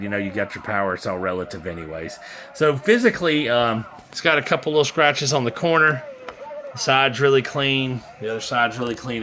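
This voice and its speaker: Low-voiced man, casual and rambling. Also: sharp tone. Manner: informal, rambling, hands-on while talking. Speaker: seller demonstrating used radio gear. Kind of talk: casual product demo chat. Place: indoors at a desk with radio equipment. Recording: faint background noise.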